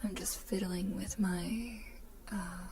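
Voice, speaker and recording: A woman streaming ASMR, chatting casually in a breathy whisper close to the microphone in an unhurried, drifting way. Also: mid-range voice, fairly even intonation, background noise. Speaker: ASMR livestreamer chatting with viewers.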